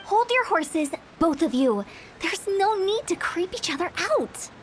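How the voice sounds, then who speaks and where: A woman's voice: extremely high pitch, big pitch swings, a little slow, a little hiss or hum, exaggerated voice. An actress playing a playful, shrewd young woman who runs a funeral parlor in a game, confident and teasing. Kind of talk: game voice acting.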